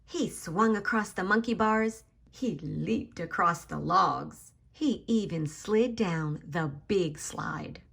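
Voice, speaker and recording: A woman's voice: mid-range voice, creaky, a bit bright, slightly rough. A woman hosting a children's story time, reading a picture book expressively, addressing young listeners directly and stressing key words for drama.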